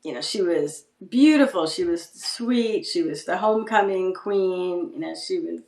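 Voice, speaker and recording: A woman guest speaking reflectively about her writing and family history, often pausing and restarting. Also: fairly low pitch, darkish tone, reverberant. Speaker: guest writer. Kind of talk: casual interview chat.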